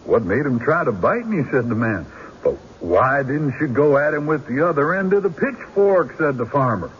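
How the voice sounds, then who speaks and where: An actor playing a folksy, wry country lawyer telling stories in court in a radio drama. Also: male voice, mid-range voice, big pitch swings, normal speed, natural voice. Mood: wry, amused. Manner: folksy storytelling with dry humor. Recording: dull, noisy old radio broadcast.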